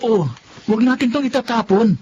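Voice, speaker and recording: A man's voice: very high-pitched, normal speed, darkish tone. A man playing a good-humoured schoolteacher husband in a Tagalog radio drama, jovial and bantering, with a Filipino accent. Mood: easygoing. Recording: dull lo-fi audio with noise.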